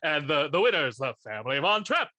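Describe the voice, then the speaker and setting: A man co-hosting a podcast, telling stories in animated casual chat and swearing freely. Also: a little high, fast talker, no room sound. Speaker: podcast co-host.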